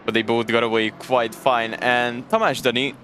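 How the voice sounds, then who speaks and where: A man's voice: mid-range voice, level tone, moderate pace, sharp tone, a little hiss or hum. Hungarian-accented man commentating a sim race. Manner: chatty, jokes and thanks chat viewers. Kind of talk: sim racing stream commentary.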